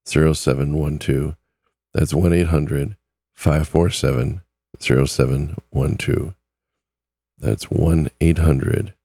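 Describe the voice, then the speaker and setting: Hoarse, very deep-voiced man. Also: mellow, dry recording. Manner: chatty, repeats numbers slowly. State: losing his voice, sore throat. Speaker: podcast host. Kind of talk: casual news talk.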